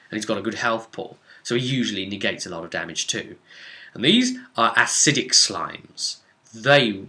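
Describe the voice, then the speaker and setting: A man's voice: mid-range voice, sharp tone. A British man recounting a tabletop role-playing session as its game master, in an informal, dramatic way full of exclamations and asides. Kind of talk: animated informal storytelling to camera.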